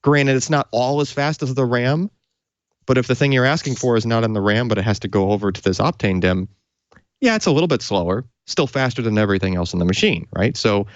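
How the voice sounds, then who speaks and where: A man's voice: mid-range voice, fairly quick, no room sound, dull audio. A man co-hosting a tech show, explaining technical detail off the cuff in an enthusiastic, conversational way.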